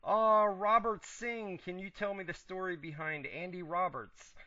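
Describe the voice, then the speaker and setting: A man's voice: high-pitched, fairly even intonation, unhurried, pushed and bright, dry recording. A man hosting a casual wrestling talk radio show, laid-back and chatty, losing his voice as he reads out listener questions. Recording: dull lo-fi internet radio audio.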